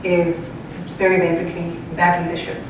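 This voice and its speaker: A woman from Zambia and Botswana giving a public talk, speaking haltingly with repeated words and frequent pauses. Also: completely flat delivery. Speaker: university faculty member, guest speaker. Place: large echoey room, live talk. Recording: echoey hall, distant mic, noisy rumble.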